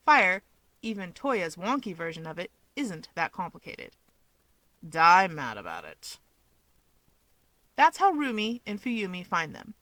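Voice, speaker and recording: A woman's voice: medium pitch, fairly bright tone, no room sound, rough recording. A woman narrating fan fiction as a storyteller, reading from a script.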